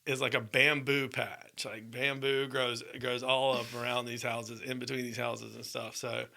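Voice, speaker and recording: Gravelly, bright-voiced storytelling man. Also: mid-range voice, little pitch movement, moderate pace. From Georgia, USA. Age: 30s. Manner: rambling, laughs often, restarts. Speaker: podcast co-host. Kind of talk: casual storytelling.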